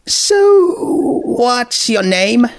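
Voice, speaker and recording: A man's voice: very high voice, sing-song, drawn-out words, vocal fry, voice pushed up, heavily acted. A man voicing a sceptical young female pony princess who speaks hesitantly and with awkward politeness. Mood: awkward, puzzled.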